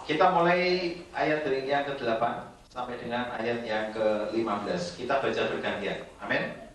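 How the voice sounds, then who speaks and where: A man's voice: mid-range voice, normal speed, fairly bright tone. A middle-aged man preaching a sermon to a congregation, joking playfully with them. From Indonesia. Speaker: guest preacher. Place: church hall. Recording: roomy church sound from a distant mic.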